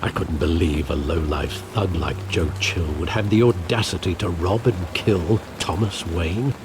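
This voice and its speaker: An actor playing a loyal, weary older butler and guardian in a video game, speaking in a measured, earnest, formal way. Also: male voice, deep voice, background noise. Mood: reflective. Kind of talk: dramatic video game acting.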